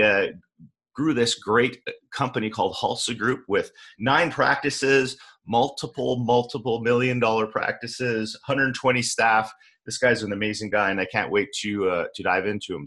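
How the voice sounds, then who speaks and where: A man's voice: on the low side, fairly even intonation, normal speed, fairly bright tone. A man hosting a podcast, chatting in a friendly, loose way with many fillers. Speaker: podcast host. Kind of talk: casual interview with scripted intro.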